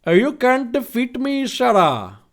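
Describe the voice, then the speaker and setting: Guy doing a gloating villain president, put-on indian accent, pitched way up, theatrical story reading. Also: big pitch swings, measured pace, fairly bright tone, heavily acted. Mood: defiant, gloating. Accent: attempted Indian. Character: Abraham Lincoln as a defiant, gloating villain.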